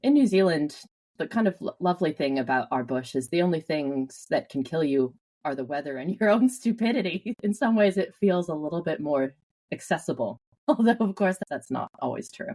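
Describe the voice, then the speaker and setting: A Canadian woman telling stories with lively energy as an interview guest, laughing often. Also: low voice, normal speed. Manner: animated storyteller, laughs often. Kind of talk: casual interview.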